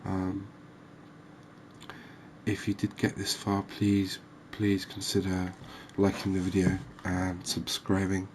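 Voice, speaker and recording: A man giving relaxed, unhurried, musing ASMR-style gameplay commentary, chatting to his viewers. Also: low voice, slight background noise. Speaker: host of a cozy fishing game channel.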